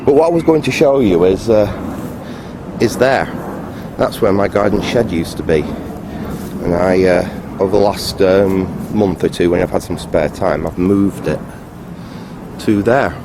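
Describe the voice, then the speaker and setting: A man giving a casual, unscripted, matter-of-fact video tour in a halting, rambling way. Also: deep voice, background noise. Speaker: vlogger, hobbyist restorer.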